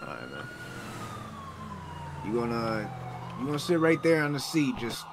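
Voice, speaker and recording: A man's voice: mid-range voice, expressive, moderate pace. A man role-playing a police officer in an online game, improvising his dialogue. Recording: sirens and noise over game chat.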